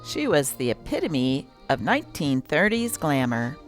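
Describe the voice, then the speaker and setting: A woman's voice: deep voice, very animated, a little slow, over music. A woman narrating from a script as host, reading an old newspaper aloud.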